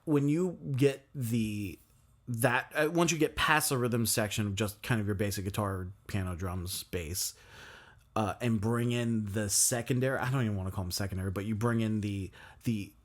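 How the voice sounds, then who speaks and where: A man hosting a music podcast, chatting casually with a guest and often stalling on fillers and restarts. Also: fairly low pitch. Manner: rambling, halting with many fillers and restarts. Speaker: podcast host. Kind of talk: casual interview chat.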